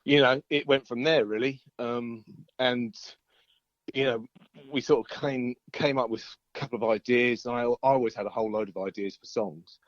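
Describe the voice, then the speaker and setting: A man's voice: mid-range voice, steady tone, normal speed, dry recording. An easygoing man chats casually as an interviewed band musician, rambling and often hesitating over his words. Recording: dull lo-fi call audio.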